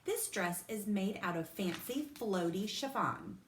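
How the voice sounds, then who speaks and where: A woman's voice: mid-range voice, lively intonation, a little slow, echoey room, slight background noise, natural voice. A woman reading aloud, voicing a spoiled, showy rich little girl who brags in a sing-song way. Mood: showing off.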